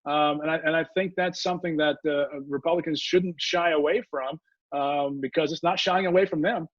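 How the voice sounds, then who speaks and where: An older man hosting a radio talk show, speaking in halting, rambling sentences full of fillers. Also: fairly high pitch, fairly even intonation, no room sound. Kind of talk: radio interview chat. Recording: muffled dry audio.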